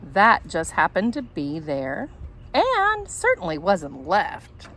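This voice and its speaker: Woman, storybook reader, lively swooping pitch, unhurried pace, playful, talks to children. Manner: expressive, playful, addresses children directly. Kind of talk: animated children's read-aloud with asides.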